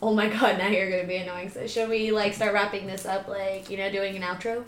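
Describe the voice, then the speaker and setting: A young woman with a high, childlike voice, chatting casually and teasing her co-host. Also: level tone, fairly quick, roomy sound, a little hiss or hum. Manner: giggly, teasing. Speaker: podcast guest. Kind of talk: casual chat.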